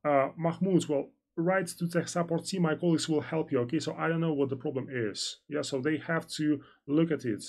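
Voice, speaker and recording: Rambling male trading analyst, slightly high voice. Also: a little fast, gravelly, few pauses, darkish tone. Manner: loose and rambling, full of "so" and "well" fillers and restarts. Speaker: financial analyst hosting a live stream. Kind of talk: live-stream trading lesson, reading out viewer chat.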